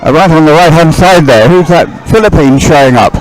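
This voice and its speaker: A man's voice: fairly high pitch, a little fast, some chatter behind, poor audio. A man giving live race commentary in a conversational, searching way. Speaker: sports commentator. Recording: music playing behind outdoor live broadcast. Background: music.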